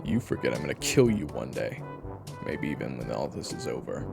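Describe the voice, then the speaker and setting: Man acting a gravelly, gruff monster hunter. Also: very deep voice, very animated, brisk, music in the background, pitched below the performer's own voice, heavily acted. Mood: blunt, subdued. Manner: dry, scolding, blunt. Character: gruff, sarcastic, fastidious male monster hunter. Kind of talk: audio roleplay acting.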